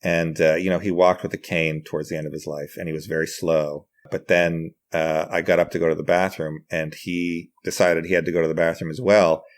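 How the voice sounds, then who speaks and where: A man with a notably low-pitched voice speaks as a guest on a podcast. He talks in a casual, conversational way, telling anecdotes as he goes. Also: level tone, fairly quick, few pauses. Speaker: podcast guest, writer and comedian.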